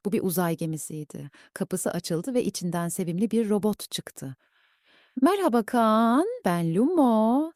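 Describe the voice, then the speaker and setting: Female storyteller, soft mellow bedtime read, dry close sound. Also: fairly low pitch, no room sound.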